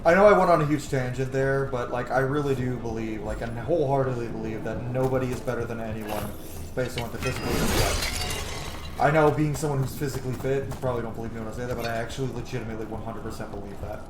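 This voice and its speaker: A man's voice: mid-range voice, fast talker, noisy background. Man rambling casually in a roomy space. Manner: swears freely, opinionated, animated tangents. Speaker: streamer playing a video game. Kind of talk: casual, rambling gameplay commentary.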